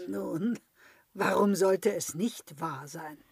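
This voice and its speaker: An actress playing an outspoken young maidservant in a German radio play, cheeky and quick to question. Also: female voice, fairly low pitch, creaky, a little mellow, husky, heavily acted. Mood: pert. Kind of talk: German radio play acting of a classic comedy.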